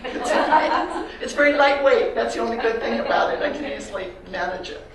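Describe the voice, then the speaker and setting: An older woman with a Canadian accent gives a reflective, personal slide talk about her artwork to an audience, pausing with fillers. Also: fairly high pitch, a little hiss or hum. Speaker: artist giving a talk. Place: large echoey room. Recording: very echoey hall from a distance.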